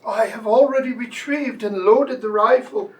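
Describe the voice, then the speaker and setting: An actor plays a dutiful manor butler in a radio mystery, formal and deferential, with a middling pitch. The voice sits a little way off from the microphone. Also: moderate pace, far from the mic, lightly acted, rough recording. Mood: grave, alert. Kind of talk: radio play acting.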